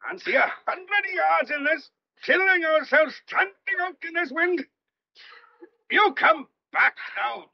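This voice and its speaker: An actor playing a gruff but kindly, exasperated police sergeant in a thriller audio drama, with a blunt, coaxing, colloquial way of talking. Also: male voice, very high-pitched, animated, measured pace, highs cut off, exaggerated voice. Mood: straining, urgent, coaxing. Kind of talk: old-fashioned thriller audio drama acting.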